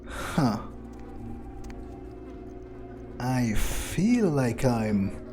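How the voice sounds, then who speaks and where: A man voicing a proud, power-hungry vampire in an improvised game playthrough, musing haltingly and swearing as he goes. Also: medium pitch, big pitch swings, noisy background. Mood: wary, musing. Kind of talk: improvised in-character gameplay monologue.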